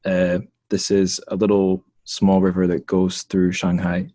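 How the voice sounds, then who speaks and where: A man from the United Kingdom hosting a podcast interview in a casual way, pausing often with fillers and restarts. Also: deep voice, monotone, mellow, dry recording, studio quality. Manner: hesitant, many fillers and restarts. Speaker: podcast host.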